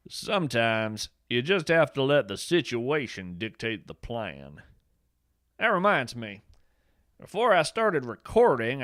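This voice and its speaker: Guy doing a folksy creature-loving naturalist, whimsical podcast storyteller, super animated, really dry sound. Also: medium pitch, no echo. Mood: eager. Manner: folksy, whimsical, earnest turns of phrase. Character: folksy, enthusiastic male field naturalist of fantastical creatures. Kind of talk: first-person storytelling, fiction podcast monologue.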